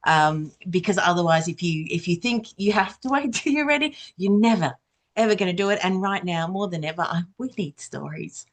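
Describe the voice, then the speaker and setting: A woman's voice: fairly low pitch, expressive, a little fast. A woman hosting a casual panel conversation in an effusive, encouraging way. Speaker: panel host.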